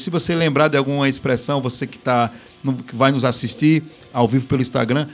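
A man with a Northeastern Brazilian accent hosting a live talk stream, chatting in a lively way and tossing in playful regional exclamations. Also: medium pitch. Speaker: host of a live business talk show. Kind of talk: casual live-stream chat.

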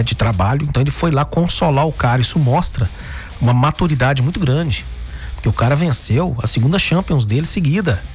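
A man from Brazil hosting a sports radio show, talking through football news in a chatty way, often tagging his sentences with questions. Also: mid-range voice. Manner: chatty, frequent "né?" tags and restarts.

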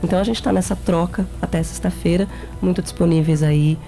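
A woman with a São Paulo accent, a campaign guest answering interview questions in an earnest, measured way. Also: fairly low pitch, fairly even intonation, mellow, soft background music. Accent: São Paulo Portuguese. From São Paulo, Brazil. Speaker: guest, education campaign member.

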